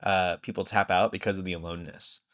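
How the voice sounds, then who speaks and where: A man hosting a podcast, telling rambling, animated stories in casual conversation. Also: sits low, fast talker. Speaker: podcast host.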